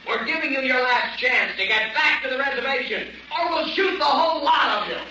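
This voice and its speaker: A hostile frontier guard from a radio play, very sharp and forceful. He barks contemptuous threats from far off, with heavy echo around him. The vintage recording is muffled. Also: very high-pitched, expressive, brisk, slight background noise, exaggerated voice. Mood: threatening. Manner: barked threats, rough colloquial talk. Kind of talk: old-time radio drama acting. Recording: distant, echoing, dull old radio recording.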